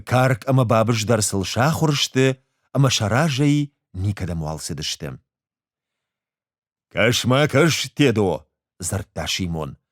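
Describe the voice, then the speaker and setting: A man reading a short story aloud as an expressive literary narrator. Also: fairly low pitch, slow pace, long pauses, dry recording, studio quality.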